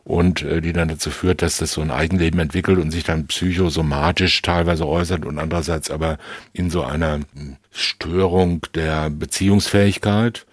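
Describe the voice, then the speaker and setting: Male expert guest, low and rough-edged, deliberate and analytical in unscripted discussion. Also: dry recording, studio quality. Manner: deliberate, hedging, long-winded explanations.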